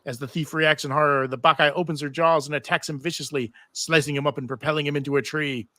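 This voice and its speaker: Bright-voiced man narrating a script aloud. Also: on the high side, steady tone, quick pace, dry recording. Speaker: table read narrator and panel host. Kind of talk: live reading of TV script stage directions with asides. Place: live convention panel.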